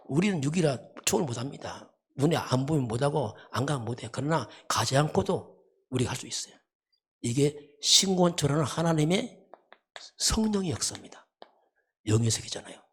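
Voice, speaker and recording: A pastor giving a sermon in an emphatic, repetitive teaching manner, often posing rhetorical questions, with a husky voice. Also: medium pitch. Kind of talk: dawn service sermon.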